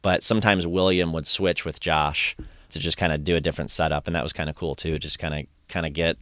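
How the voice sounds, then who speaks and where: A low-pitched man hosts a relaxed podcast chat, rambling eagerly and restarting his sentences. His tone is smooth and clear in a dry, close recording, with a slight strain from recent singing. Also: a little fast, dry recording. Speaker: podcast host. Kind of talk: casual conversational recap.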